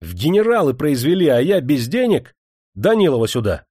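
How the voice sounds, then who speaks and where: A man's voice: medium pitch, normal speed, no echo, studio quality. Man playing a haughty young nobleman officer. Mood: indignant. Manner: haughty, commanding, excitable. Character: vain, spendthrift young nobleman and officer, imperious.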